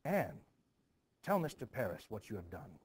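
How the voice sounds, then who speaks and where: A man reading the part of a forceful, scheming village man in a stage play, commanding and insistent. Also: on the low side, sing-song, normal speed, slight background noise.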